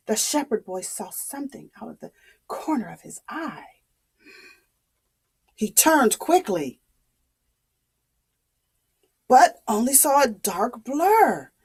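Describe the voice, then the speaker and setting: A woman telling a story to young children in a dramatic way, asking questions and repeating things to explain them. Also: medium pitch.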